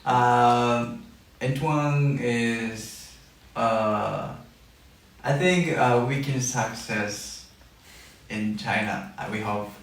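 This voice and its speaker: A man's voice: medium pitch, drawn-out words. A young man from Japan, a dancer and choreographer, introducing himself hesitantly with many fillers. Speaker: boy band dancer and choreographer. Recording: echoey room, mic at a distance.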